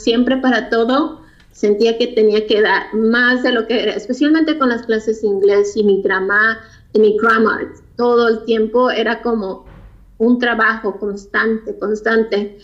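A woman from Mexico with a Mexican Spanish accent, telling her personal story as an interview guest in a halting, reflective way, often searching for words. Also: on the high side. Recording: dull lo-fi recording.

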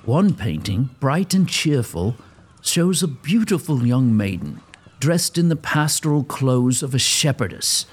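A man's voice: medium pitch, sing-song, a little slow, breathy edge. A man giving dramatic scripted narration as the storyteller of an audio drama.

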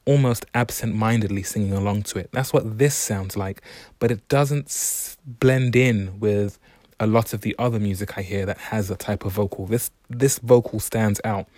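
A young man hosting a solo podcast, talking in a relaxed, reflective, unhurried way. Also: fairly low pitch, dry recording. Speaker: podcast host. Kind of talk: casual solo podcast monologue.

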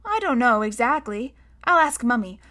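A woman's voice: fairly high pitch, very animated, moderate pace, bright, forceful tone. A woman voicing a small, outspoken, stubborn girl in a storybook, childlike and emphatic. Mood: explaining.

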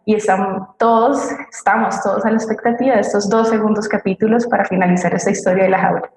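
A female co-host reads audience messages on a live forum. Her voice sits at an ordinary female pitch. The sound is noticeably roomy, with plenty of echo around the words. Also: fairly even intonation, quick pace, a little mellow. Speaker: co-host reading audience comments. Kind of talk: radio theatre forum, reading listener messages. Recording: roomy, echoing voice.

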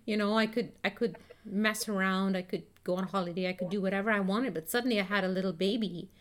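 A woman's voice: mid-range voice, steady tone, fast talker. A woman in her fifties chatting in a reflective, easy way, laughing now and then as she talks. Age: 50s. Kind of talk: casual reflective chat.

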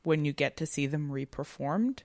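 A woman's voice: deep voice, animated, moderate pace, darkish tone, no room sound, studio quality. A woman co-hosting a podcast, talking through ideas in a casual, analytical, informal way. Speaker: podcast co-host. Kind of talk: casual conversational literary discussion.

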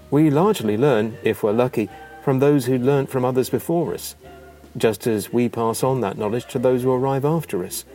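A man narrating a written memoir in an expressive, measured, theatrical storytelling manner. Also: lowish voice.

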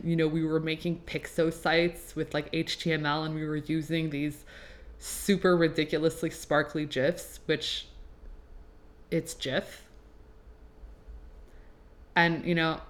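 A woman's voice: deep voice, a bit bright. Chatty young Canadian woman, native French speaker. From Quebec, Canada. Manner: chatty, extroverted, filler-heavy with "like". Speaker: podcast host. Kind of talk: casual personal monologue. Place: apartment.

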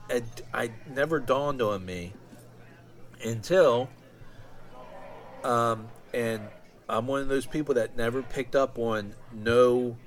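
A man talking casually and informally as a solo podcast host, in a halting, rambling way. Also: mid-range voice.

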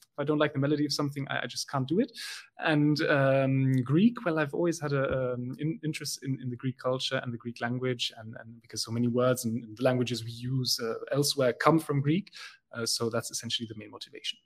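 A man's voice: medium pitch, fairly even intonation, a little fast. Hesitant man. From Belgium. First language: German. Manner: hesitant, many fillers and restarts. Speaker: guest. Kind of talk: casual podcast conversation.

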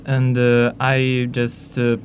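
Soft-spoken, halting guest heard from a distance, mid-pitched. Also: monotone, slight background noise. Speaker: group leader and guest. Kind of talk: casual interview answers.

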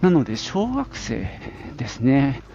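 A man's voice: medium pitch, very animated, a little slow, dark tone, dull audio. A middle-aged man from the Nagoya area of Japan, talking casually on his own as a podcast host, in an informal, rambling way with little asides. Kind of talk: casual solo reminiscing.

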